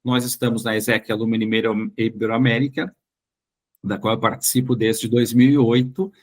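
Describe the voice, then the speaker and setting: A Brazilian man speaking as an interview guest, recounting personal experiences haltingly and often rephrasing his words. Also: mid-range voice, fairly even intonation.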